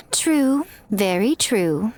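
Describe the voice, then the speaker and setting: A woman voicing an elegant, sly shrine priestess in an anime game, with a coy, teasing, drawn-out delivery. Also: on the high side, very animated, slight background noise, exaggerated voice. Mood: mock sympathy.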